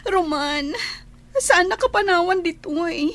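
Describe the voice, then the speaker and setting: An actress playing a lovelorn young woman in a radio drama, with a thin, pleading, drawn-out delivery. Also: extremely high pitch, animated, fairly bright tone, full character voice. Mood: tearful, pleading. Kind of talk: Ilocano radio drama acting.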